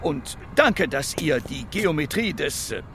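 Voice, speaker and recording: Flustered, high-pitched fussy gentleman. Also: big pitch swings, a little slow, vocal fry, a little hiss or hum, heavily acted. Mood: apologetic, grateful. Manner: hesitant, flustered, courteous. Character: flustered, polite adult man, fussy art exhibitor. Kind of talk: children's audio play acting.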